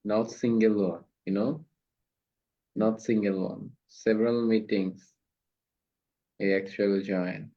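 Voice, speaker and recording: Slow-talking Bangladeshi man hosting a call. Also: lowish voice, steady tone. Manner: slow, halting non-native English with fillers. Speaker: host of online speaking practice meeting. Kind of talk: casual video call chat. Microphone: video call. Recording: dull lo-fi call audio.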